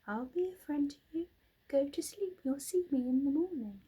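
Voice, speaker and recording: A woman's voice: sits high, lively intonation, moderate pace, dark tone, voice pushed up, rough recording. A woman voicing a small, mysterious, friendly creature in a storybook, with a thin voice and gracious, articulate manner. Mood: reassuring. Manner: genteel, articulate.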